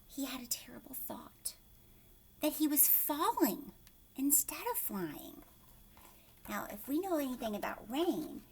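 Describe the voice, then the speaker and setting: A woman's voice: high voice, a little hiss or hum. A woman teacher reading a picture book aloud to young children, expressively, with questions and asides to her listeners.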